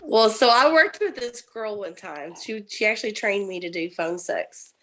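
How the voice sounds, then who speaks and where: A woman shares candid stories, her pitch rising and falling with a lot of life. A faint hiss sits under her mic. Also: lively intonation, moderate pace, bright, forceful tone, rough recording. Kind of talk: casual group chat.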